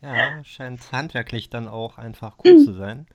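A man hosting a casual podcast interview, relaxed and easygoing, often repeating "verstehe" and clearing his throat. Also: medium pitch, unhurried. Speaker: podcast host.

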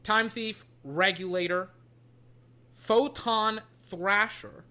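A young adult, slightly hoarse, chatting casually while opening card packs at a desk, swinging between loud hype and low muttering and swearing freely. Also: slow pace. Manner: swears casually, trails off and restarts. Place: at a desk indoors.

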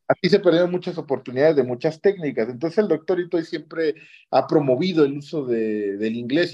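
A man's voice: mid-range voice, darkish tone. A man with a Mexican Spanish accent tells a personal story conversationally as an interview guest, often checking in with questioning tags at the ends of phrases. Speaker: interview guest, orthopedic surgeon. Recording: dull lo-fi audio.

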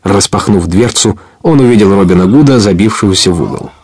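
A man's voice: fairly low pitch, expressive, fairly quick, darkish tone, breathy edge, dry recording, clean studio sound. A man narrating an adventure story in a scripted radio play, as a storyteller.

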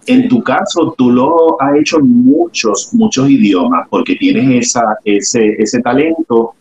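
A man interviewing a guest, asking long questions in a measured way with filler pauses. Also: medium pitch, animated, a little mellow, dull audio.

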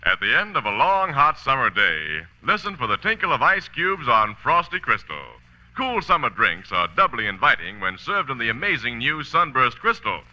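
Forceful vintage radio ad announcer, male. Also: medium pitch, very animated, moderate pace. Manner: emphatic, polished salesmanship. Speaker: commercial announcer. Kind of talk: vintage radio advertisement, scripted pitch. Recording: dull vintage radio recording.

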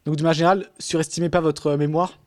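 A man's voice: fairly high pitch, little pitch movement, fast, dry recording, studio quality. A man talking casually in a podcast conversation, rambling with many fillers and restarts.

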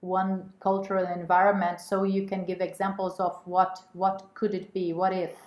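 A woman's voice: fairly low pitch, completely flat delivery, moderate pace, darkish tone. Hesitant woman in an echoey room. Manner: hesitant, many fillers and restarts. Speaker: guest, women's network co-chair. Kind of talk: casual interview talk.

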